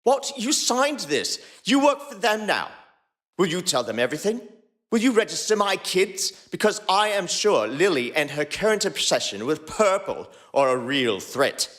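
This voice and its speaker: A man's voice: very animated, normal speed, fairly bright tone. A man reading the dialogue of a fan fiction aloud, shifting his voice between characters. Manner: voices several characters by shifting pitch and pace. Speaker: podcast co-host reading fanfiction.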